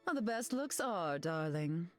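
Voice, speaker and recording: A woman's voice: fairly low pitch, sing-song, unhurried. A woman playing a glamorous telepathic queen in a video game, poised, theatrical and condescending. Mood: disdainful. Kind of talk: video game hero voice lines. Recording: game dialogue over loud music.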